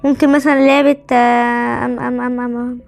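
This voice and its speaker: A woman with an Egyptian Arabic accent chatting casually and playfully as a gaming host, excitable and teasing, often repeating words in chants. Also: on the high side, quick pace, creaky, soft background music. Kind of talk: casual playful gameplay chat.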